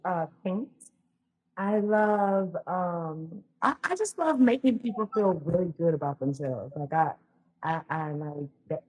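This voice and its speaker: A woman's voice: fairly low pitch, a little mellow, husky, poor audio. A woman, a podcast guest telling her life story in a chatty way, full of ums and restarts. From Louisiana, US. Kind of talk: casual storytelling interview.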